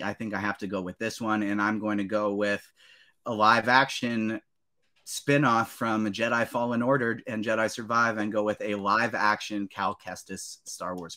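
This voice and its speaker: A man's voice: on the low side, pushed and bright. A man hosting a podcast in a casual, friendly and encouraging way. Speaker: podcast host. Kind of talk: casual chat.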